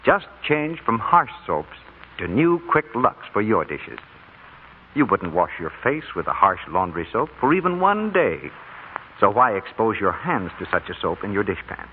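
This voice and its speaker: Animated vintage male radio announcer, tinny. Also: fairly low pitch, measured pace. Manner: polished sales delivery. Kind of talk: 1940s radio commercial announcing. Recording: tinny, hissy old radio broadcast.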